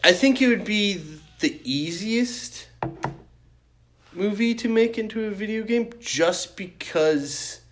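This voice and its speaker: A man co-hosting a podcast in casual chat, animated and freely swearing. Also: high voice, takes it slowly. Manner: profane, animated. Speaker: podcast host.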